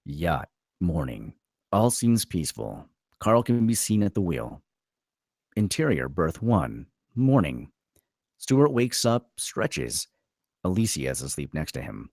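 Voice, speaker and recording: A man with an extremely deep voice narrates screenplay stage directions. His delivery is dramatic, with pitch that swings widely, and the sound is dry and close. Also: sing-song, slow, darkish tone, no echo.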